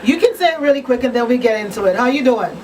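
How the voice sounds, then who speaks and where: Female radio host, fairly high voice, live call-in talk, clear background noise, slightly off mic. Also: expressive, fast talker, a little mellow, far from the mic.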